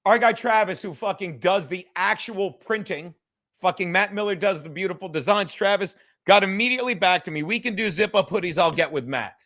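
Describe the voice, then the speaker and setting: A man's voice: high-pitched, fairly quick, a bit bright. A man hosting a casual, profane livestream chat, rambling in a self-deprecating way and swearing freely. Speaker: livestream host.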